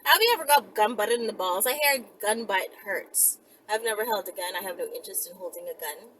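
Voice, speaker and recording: A woman's voice: mid-range voice, a little fast, a bit bright, a little hiss or hum, low-quality recording. A woman video host chatting casually and rambling to viewers in a blunt, emphatic, profane, conversational way.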